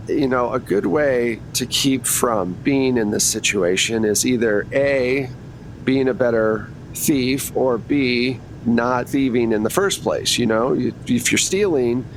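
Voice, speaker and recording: A man's voice: unhurried, close to an everyday voice, low-quality recording. A man playing a tired, frank public defender in a tabletop game, casual and a little hesitant. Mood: weary. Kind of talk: improvised tabletop roleplay. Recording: rumbly background noise.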